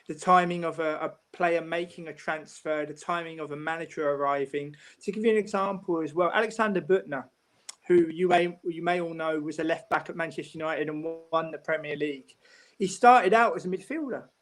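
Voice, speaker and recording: A man with a notably high-pitched voice talks football as a guest pundit. He explains his points in a measured way and often repeats a word while gathering his thoughts. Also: a bit bright. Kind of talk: casual football discussion.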